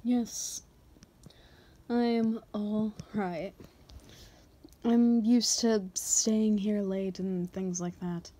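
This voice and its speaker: Woman playing a slow-talking, teasing female boss. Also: medium pitch, steady tone, mellow. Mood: composed, coy. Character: confident, teasing female boss confessing to an employee. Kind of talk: ASMR roleplay.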